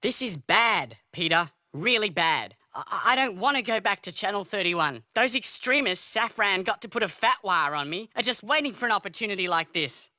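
A man's voice: high voice, lively intonation, normal speed, hardly any pauses, sharp tone, no echo, studio quality, heavily acted. A man playing a paranoid, bullying cartoon TV comedy host, agitated and self-important. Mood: panicked. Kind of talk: animated satire dialogue.

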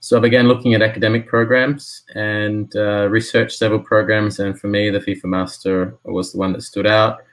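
A man's voice: fairly low pitch, flat delivery, brisk, few pauses. A man in his thirties from Australia, talking casually on a video call from a small room, hesitant with many fillers. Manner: hesitant, with frequent fillers and restarts. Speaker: football lawyer, alumnus guest. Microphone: laptop webcam on a video call.

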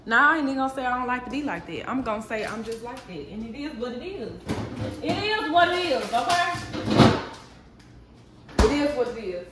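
A woman's voice: on the high side, expressive, fairly quick, long pauses, very echoey, distant mic, heavy background noise. A woman, chatting candidly and animatedly to her viewers while cooking in her kitchen. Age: 30s. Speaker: vlogger. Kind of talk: casual chat while cooking. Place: home kitchen.

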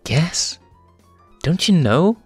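A man's voice: a little high, sing-song, very slow, slightly rough, no room sound, soft background music, pitched above the performer's own voice, exaggerated voice. A man voicing a feisty young female lion cub in an amateur comic dub, with a scolding, emphatic manner. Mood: teasing. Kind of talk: amateur comic fandub acting.

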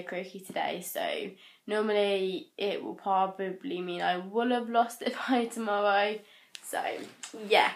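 Croaky young woman, chatty vlogger, handheld camera, middling pitch. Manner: rambling, chatty, lots of "like" and "so". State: hoarse, croaky from a cold. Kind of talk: casual vlog chat. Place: bedroom, then parked car. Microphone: handheld camera at arm's length.

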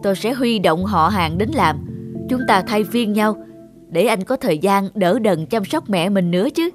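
A woman's voice: medium pitch, expressive, moderate pace, music in the background, lightly acted. A woman voicing a sincere, solemn, remorseful middle-aged man in a cartoon. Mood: sincere offer. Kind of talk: cartoon dubbing.

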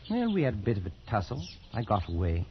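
A man's voice: fairly low pitch, huge pitch swings, fast, slight background noise. An actor playing a shaken, defensive colonial Englishman in a post-war radio drama, speaking in a hesitant, stammering way. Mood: defensive, evasive. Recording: dull vintage radio recording with music.

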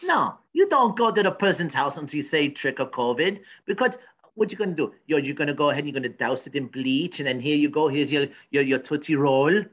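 A man's voice: a little high, lively intonation, brisk, fairly bright tone, dull audio. A man playing a cranky, pompous professor in a comedy news sketch, rambling and stammering. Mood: blustering. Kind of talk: improvised mock news interview comedy.

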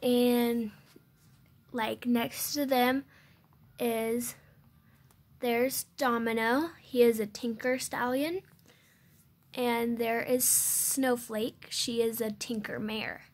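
A young female voice, fairly high and unhurried, talks through a tour of her toy farm. She pauses often, fills gaps with ums and corrects herself as she goes. It is recorded close on a handheld phone indoors. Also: fairly even intonation. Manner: hesitant, many ums and self-corrections. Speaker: channel host giving a toy barn tour. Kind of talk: unscripted show-and-tell tour. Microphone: handheld phone filming close.

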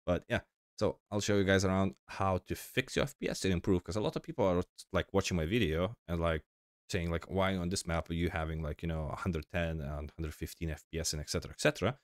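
Deep-voiced sick young man, rambling. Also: steady tone, fast, dry recording, clean studio sound. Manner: rambling, informal, frequent fillers and restarts. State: sick, slightly crackly voice. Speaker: gaming channel host. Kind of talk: casual gameplay tutorial voice-over.

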